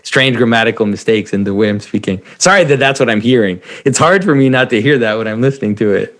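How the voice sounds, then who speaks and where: Man, host, non-native English speaker, reflective, pauses to find words, mid pitch. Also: a bit bright. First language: not English. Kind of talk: casual conversation.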